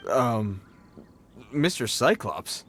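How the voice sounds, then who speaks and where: An actor playing a formal, dutiful patrol guard who is awkward with children. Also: male voice, medium pitch, very animated, very slow, background noise. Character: adult male patrol guard, stiff and dutiful.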